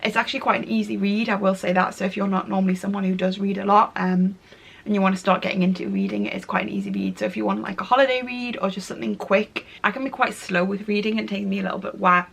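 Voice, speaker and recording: A woman, chatting casually to camera about her monthly favourites, her voice croaky and nasal from a cold, gushing and repeating "really, really". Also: medium pitch, steady tone, fast talker, fairly bright tone. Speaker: lifestyle vlogger.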